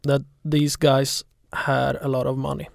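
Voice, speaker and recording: A man's voice: mid-range voice, monotone, measured pace, gravelly, no room sound, clean studio sound. A man from Sweden with a Swedish accent, a journalist telling stories in an interview. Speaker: journalist and podcaster. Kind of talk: interview storytelling.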